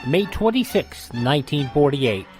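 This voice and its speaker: A man's voice: a little high, big pitch swings, measured pace. A man hosting a radio program with scripted announcements and introductions. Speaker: radio show host. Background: music bed.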